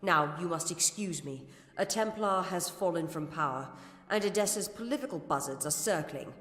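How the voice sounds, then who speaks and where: A woman playing a stern, formal gnome Templar commander in a fantasy game, speaking with measured authority. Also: fairly low pitch, animated, fairly bright tone. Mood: stern, businesslike. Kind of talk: scripted fantasy game dialogue. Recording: echoey reverberant hall.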